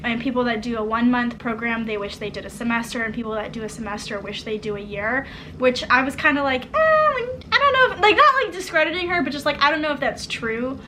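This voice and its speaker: A young woman from the United States hosts a relaxed chat with friends. Her voice sits fairly high and sounds bright and forward. She talks freely, sprinkling "like" through her sentences. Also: fast, slight background noise, rough recording. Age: young adult. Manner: chatty, filler-heavy, frequent "like". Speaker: host and exchange student. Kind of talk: casual chat.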